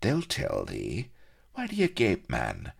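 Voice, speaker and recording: A man's voice: a bass voice, wildly swooping pitch, slow, creaky, husky, pitched above the performer's own voice, heavily acted. A man voicing a foppish, swaggering pirate who mocks in affected archaic speech. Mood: jeering, proud. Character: foppish, jeering, swaggering male pirate dandy.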